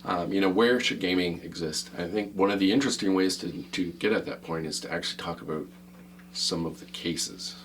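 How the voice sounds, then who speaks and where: A man with a deep voice co-hosting a casual gaming podcast, jokey and rambling, laughing often. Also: a little fast, a bit bright, slight background noise. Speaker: podcast co-host.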